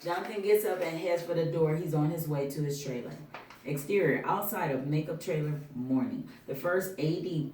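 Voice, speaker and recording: A woman's voice: low-pitched, darkish tone, a little hiss or hum. A woman reading out scene headings and stage directions as scripted narration at a film table read. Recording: roomy, distant mic.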